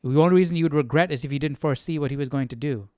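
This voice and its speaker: Dry-sounding, higher-voiced man hosting Bible study. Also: racing, a little mellow, dry recording. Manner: thinking aloud, often seeking agreement with tag questions. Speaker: livestream Bible study host. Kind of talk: casual commentary.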